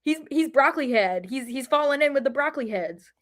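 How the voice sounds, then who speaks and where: A woman's voice: high voice, expressive, fairly quick, fairly bright tone. A woman co-hosting a soccer podcast in a casual, conversational way. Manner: informal, conversational. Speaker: podcast co-host.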